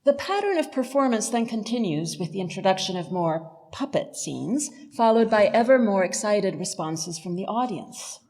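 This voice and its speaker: A woman's voice: mid-range voice, very animated, darkish tone. Articulate woman giving a lecture. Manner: articulate, measured, academic. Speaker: professor, lecturer. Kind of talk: illuminated lecture, literary analysis.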